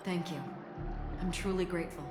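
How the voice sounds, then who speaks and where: An actress playing a calm, guarded woman warrior in a video game, with measured, polite and formal speech. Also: lowish voice, lightly acted. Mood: courteous, earnest. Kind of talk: English video game voice acting. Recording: echoey hall reverb, prominent music behind.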